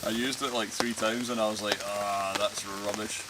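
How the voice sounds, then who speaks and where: A man with a Scottish accent softened by years in Cornwall and Canada, chatting relaxed and laughing easily at an outdoor camp. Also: lowish voice, normal speed. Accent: Scottish, mixed with time in Cornwall and Canada. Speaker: outdoor vlogger. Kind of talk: casual chat by a campfire. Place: woodland camp outdoors in heavy rain. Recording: rain noise outdoors.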